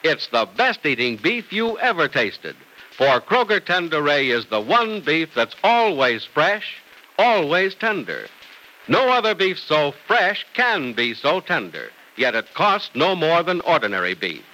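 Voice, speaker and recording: Bright, emphatic American male radio announcer. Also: on the high side, sing-song, measured pace, low-quality recording. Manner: polished, emphatic, salesmanlike. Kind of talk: old-time radio announcing and sponsor pitch. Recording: old radio transcription recording.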